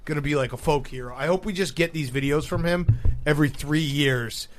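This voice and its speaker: A man's voice: fairly high pitch, brisk, a little hiss or hum. A man co-hosting a casual sports talk podcast, joking and arguing with plenty of swearing. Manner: argumentative, joking, profane. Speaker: podcast co-host.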